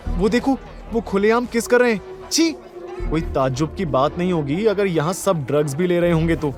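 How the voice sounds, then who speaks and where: Man voicing huffy young woman, high-pitched. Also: lively intonation, normal speed, darkish tone, soft background music. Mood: disgusted. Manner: huffy, scolding. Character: indignant, prudish young woman, easily flustered and jealous.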